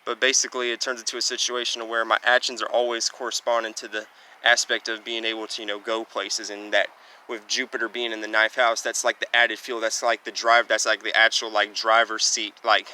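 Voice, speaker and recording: A young man with a loud, booming voice talking casually while walking outdoors, rambling along with lots of "you know" and "basically". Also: little pitch movement, fairly quick. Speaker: astrology vlogger. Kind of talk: casual walking vlog monologue. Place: outdoors, walking near trees and traffic. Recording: outdoor street noise, wind and passing traffic.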